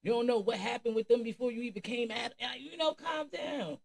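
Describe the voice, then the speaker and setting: A woman's voice: a little high, brisk, vocal fry. A woman hosting a reaction channel, talking casually and emphatically to viewers in a streetwise, conversational way. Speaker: commentary channel host.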